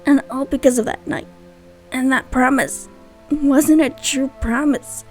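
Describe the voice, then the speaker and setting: A woman's voice: high-pitched, steady tone, measured pace, soft background music. A woman voicing a frightened, grieving little orphan boy who stammers tearfully, in a storybook-style manga dub.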